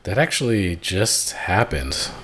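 A man's voice: very animated, takes it slowly, a bit bright, a little hiss or hum. A man at home practicing voice acting, reading the same short lines over and over in different emotions, often stammering and restarting. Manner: stammering restarts, swinging pitch between takes. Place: home room. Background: dogs, keyboard clicks, chat pings.

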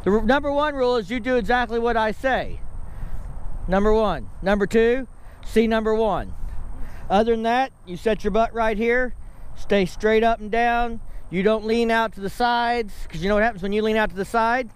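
A man's voice: sits high, normal speed, clean tone. A middle-aged man, chatting in a folksy, joking way as he vlogs from his motorcycle. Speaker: motovlogger. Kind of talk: casual vlog chat. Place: outdoors, on a motorcycle and in a driveway. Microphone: helmet-mounted action camera. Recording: wind and road noise outdoors.

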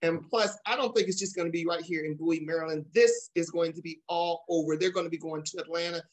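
A man's voice: a little high, fairly even intonation, fast talker. Emphatic middle-aged man hosting. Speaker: talk show host. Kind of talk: enthusiastic show promotion. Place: indoor room at home, on a video call. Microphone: video call.

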